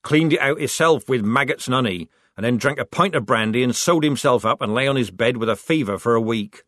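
A man's voice: medium pitch, fairly quick, fairly bright tone, no echo, studio quality, voice pushed up, close to an everyday voice. A man doing a brash, cocky young recruit.

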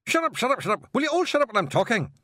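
A high-pitched, bossy little Scottish creature from a storybook. The voice blusters and scolds in a broad Scottish accent, leaping about in pitch and loud with irritation, stumbling as it tries to pass for a gentleman. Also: very animated, moderate pace, no echo, studio quality. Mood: bossy, exasperated.

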